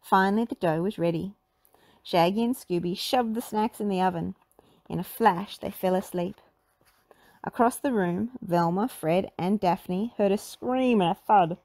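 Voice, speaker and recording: A woman narrating a children's storybook in an animated read-aloud style. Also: mid-range voice, unhurried, no echo.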